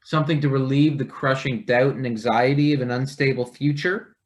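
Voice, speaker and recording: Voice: mid-range voice, fairly even intonation, brisk, natural voice. An actor playing an eager, skeptical young intern in a read-through of a satirical stage play. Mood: wry. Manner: questioning, wry.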